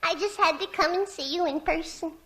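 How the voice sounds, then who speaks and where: A woman's voice: very high-pitched, a little fast. A woman playing a gushing, girlish young secretary smitten with a star, in a 1940s radio comedy. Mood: swooning. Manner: breathless, swooning, girlish. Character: gushing, lovestruck young female secretary fan. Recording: faintly noisy old radio recording.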